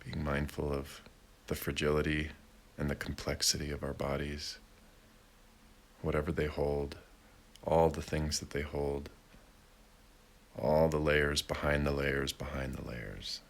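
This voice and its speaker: A man leading a guided meditation in a gentle, deliberate way, with long pauses between short phrases. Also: rumbling low, flat delivery, vocal fry. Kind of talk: guided meditation, slow and unhurried.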